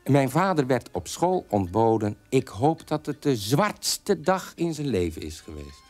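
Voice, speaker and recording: Defiant son of Nazi parents, radio drama, animated pitch, bitter storytelling, music behind. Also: male voice, measured pace. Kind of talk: Dutch radio drama monologue.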